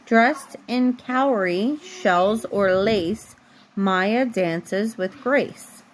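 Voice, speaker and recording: A woman's voice: medium pitch, drawn-out words, a little hiss or hum, poor audio. A woman reading a picture book aloud to her small child at bedtime, as a mother at home, recorded on a phone. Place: bedroom at home.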